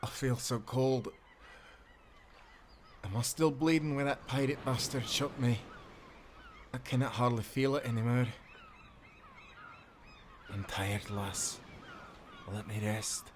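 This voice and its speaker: Man playing an earnest sailor amid loud surf. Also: medium pitch, slow pace, long pauses, noisy background, lightly acted. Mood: weak, exhausted. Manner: urgent, nautical old-fashioned phrasing. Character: earnest, protective seafaring sailor rescuer. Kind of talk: cinematic audio roleplay acting. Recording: loud ocean waves and surf. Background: sea waves, storm ambience.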